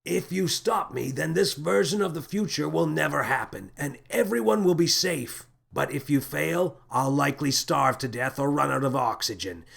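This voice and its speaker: A man voicing an adult male spaceship captain in a children's sci-fi story, grave and sorrowful but excitable when alarmed. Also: medium pitch, moderate pace, gravelly, husky. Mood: urgent, calling out. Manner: dramatic, brooding, blurting when agitated.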